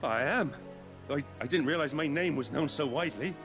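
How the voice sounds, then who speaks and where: An actor playing a humble, chatty working-class carpenter with a British accent in a fantasy video game, folksy and a little flustered. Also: male voice, on the high side, a little fast, music in the background, natural voice. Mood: grateful, shaken.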